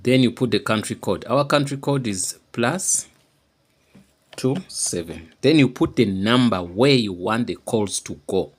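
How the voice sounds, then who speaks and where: A man giving an informal, unscripted how-to demonstration, halting and repeating himself as he explains each step. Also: medium pitch, animated. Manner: halting and repetitive, often checking understanding. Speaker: tutorial presenter.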